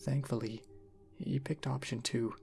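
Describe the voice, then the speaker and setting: A man narrating a story in an ASMR style, reading in a measured, unhurried way. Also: medium pitch, fairly even intonation, vocal fry, mellow, soft background music.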